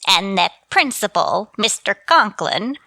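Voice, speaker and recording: A woman's voice: medium pitch, very animated, slow, creaky, pushed and bright, dry recording, full character voice. An actress playing a chirpy, scatterbrained, motherly landlady in a scripted radio comedy, in a sing-song, absent-minded manner. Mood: fussing, coaxing. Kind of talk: scripted radio sitcom acting.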